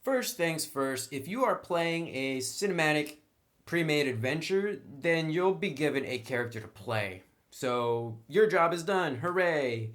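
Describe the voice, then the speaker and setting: A man's voice: on the high side, animated, measured pace, a bit bright. A man narrating a tabletop game review in an informal, semi-scripted way, with wry asides.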